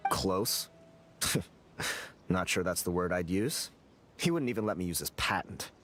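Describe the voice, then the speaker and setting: Jealous researcher dude, low voice, sulky and scoffy. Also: male voice, expressive, measured pace, fairly bright tone, exaggerated voice. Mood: dismissive. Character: jealous male researcher, bitter and dismissive. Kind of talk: game voice acting. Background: game music.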